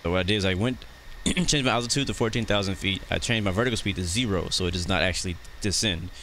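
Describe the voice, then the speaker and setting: Low-voiced American man streaming flight sim. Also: fast talker. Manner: relaxed, chatty, greets chat viewers. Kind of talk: casual live-stream commentary. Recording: noisy background.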